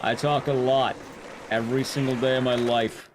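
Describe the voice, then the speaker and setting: A young man streaming to his chat, talking casually, rambling and chatty with sudden jumps in pitch. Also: level tone, normal speed, noisy background.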